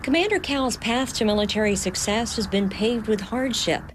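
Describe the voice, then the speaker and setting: A woman news reporter delivering scripted narration in a smooth, measured way. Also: fairly high pitch, background noise.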